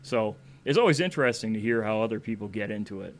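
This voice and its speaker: A man's voice: fairly low pitch, expressive, fast. A man in his forties hosting a podcast in loose, casual interview chat, swearing freely. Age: 40s. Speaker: podcast host.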